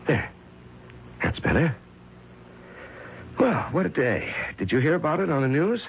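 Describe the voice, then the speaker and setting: An actor playing a secretive, evasive husband and judge in a radio mystery drama, soothing and hesitant in manner. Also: male voice, a little high, big pitch swings, unhurried. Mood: weary, casual. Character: secretive distinguished judge and husband, coolly explaining away murders. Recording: dull, noisy old radio recording.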